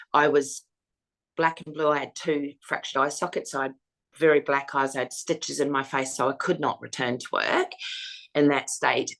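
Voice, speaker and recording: A woman with a husky voice, frankly recounting her own experience as an interview guest. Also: a bass voice, a bit bright. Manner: frank, matter-of-fact storytelling. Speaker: foundation founder, interviewee. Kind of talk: personal testimony in an interview.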